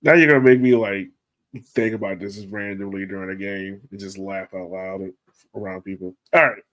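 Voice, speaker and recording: A man's voice: sits low, steady tone, moderate pace, low-quality recording. Casual man hosting a sports podcast. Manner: informal, thinks out loud. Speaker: podcast host.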